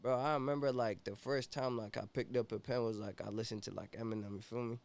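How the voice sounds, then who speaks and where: A man's voice: mid-range voice, steady tone, fast, darkish tone, a little hiss or hum. A young man from Ethiopia, a rapper guest in a casual interview, talking laid-back and slangy with constant "you feel me" fillers.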